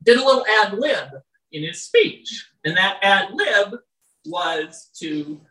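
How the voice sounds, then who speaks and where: A poet with a naturally loud, bright voice, trading wry, chatty asides before slowing into measured lines of their own verse. The sound is roomy and a little distant, picked up in an indoor venue where the speaker drifts off the stage mic. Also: medium pitch, animated. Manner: wry, chatty between poems, slow and measured when reading. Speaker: poet reading own work. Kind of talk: live poetry reading with casual asides. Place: indoor venue with live audience. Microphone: stage microphone, often off-mic.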